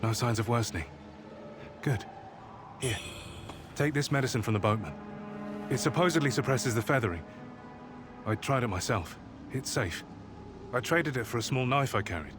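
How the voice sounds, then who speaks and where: A man's voice: mid-range voice, lightly acted. An actor playing a plain-spoken, pragmatic but caring male wanderer in a video game, clipped and matter-of-fact. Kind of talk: video game cutscene acting.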